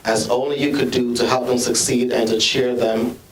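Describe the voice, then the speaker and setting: A man's voice: mid-range voice, little pitch movement, a little hiss or hum. Jamaican-accented man addressing students. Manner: earnest, measured, with repeated restarts. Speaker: school administrator. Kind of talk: ceremony speech. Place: school library, large room. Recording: echoey room, from far off.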